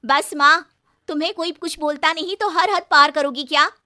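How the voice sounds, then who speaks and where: Casting: woman voicing an indignant young daughter in a cartoon tale, high, sharp, confronting. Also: steady tone, normal speed. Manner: confronting, reproachful. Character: outspoken young married daughter, indignant and righteous.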